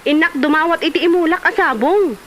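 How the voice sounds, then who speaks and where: A woman's voice: very high-pitched, fairly quick, a bit bright, a little hiss or hum. A woman with an Ilocano Filipino accent acting a chatty, envious village wife in a comedy drama. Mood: sulky, complaining.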